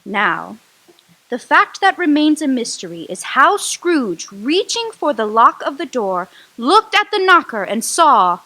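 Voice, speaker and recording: A woman narrating a radio drama in a measured, suspenseful storytelling way. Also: high voice, very animated, sharp tone, poor audio.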